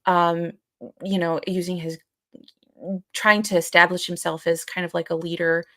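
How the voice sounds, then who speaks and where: A woman co-hosting a live podcast, talking casually and haltingly as she searches for words and hedges. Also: fairly low pitch, flat delivery, sharp tone, no echo, clean studio sound. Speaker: podcast co-host.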